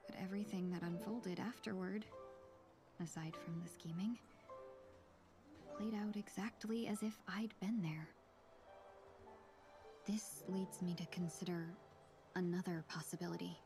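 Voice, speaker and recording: A woman voicing a courteous yet resolute fox-woman merchant in a video game, speaking formally and eloquently in measured phrases. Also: on the low side. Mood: composed, reflective. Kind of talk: scripted video game dialogue. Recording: clear music behind the voice. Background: game music.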